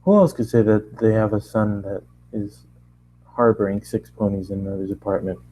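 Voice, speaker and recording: Male amateur narrator, fairly deep and relaxed, conversational first-person storytelling with retakes. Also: moderate pace, a little hiss or hum, low-quality recording. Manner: stumbles and re-reads lines. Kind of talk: first-person fan fiction reading, conversational.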